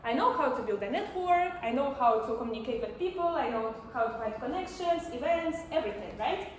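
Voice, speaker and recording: Female storyteller, 20s, Ukrainian-accented, high-pitched, addressing a live audience, recorded from a distance with slight noise. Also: animated, fairly quick. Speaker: amateur storyteller on stage. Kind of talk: personal storytelling talk to an audience. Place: indoor stage before a community audience. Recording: echoey, distant, faintly noisy live room.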